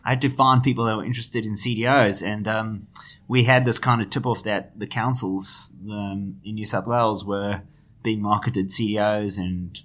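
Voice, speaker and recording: Man, South African accent, middle-aged, bright forceful tone, hesitant, lots of fillers. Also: lowish voice, steady tone, moderate pace. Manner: hesitant, many restarts and fillers. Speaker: guest, finance journalist. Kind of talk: conversational interview.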